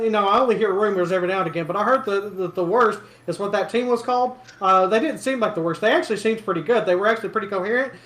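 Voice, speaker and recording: A man's voice: high-pitched, fairly bright tone, reverberant, voice pushed up, low-quality recording. A man voicing a rambling, gossipy fantasy townsperson who hesitates, repeats himself and contradicts himself in a tabletop game. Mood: excitable, curious.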